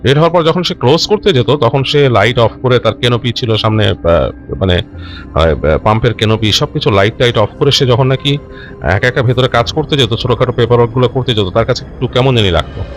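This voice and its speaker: A man hosting and telling a listener's ghost story in an unscripted, conversational way, halting and rephrasing as he goes and mixing in English words. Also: medium pitch, lively intonation, faint music behind. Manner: halting, self-correcting, repeats and rephrases phrases as he goes; mixes English words into his speech. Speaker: host narrating listener-submitted ghost stories.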